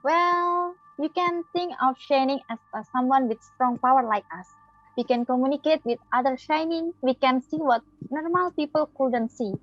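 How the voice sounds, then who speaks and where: A woman's voice: sits high, normal speed, lightly acted. A woman playing a friendly, mysterious head chef in a readers theater drama, speaking like a mentor. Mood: mysterious, earnest. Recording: muffled audio with background music.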